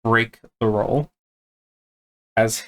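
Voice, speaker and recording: A man acting as game master, explaining rules and narrating tabletop play in a halting, unscripted way. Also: on the low side, fairly even intonation.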